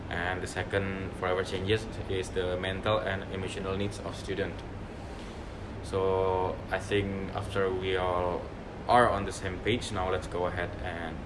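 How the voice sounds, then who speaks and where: A young man with a very low voice moderates a student discussion. He is recorded in a noisy room with a steady hum behind him. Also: measured pace. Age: young adult. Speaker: discussion leader.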